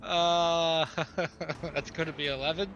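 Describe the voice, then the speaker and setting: Higher-voiced bright man playing a tabletop game. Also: fairly even intonation, slow pace, lo-fi sound. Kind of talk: casual banter at a game table.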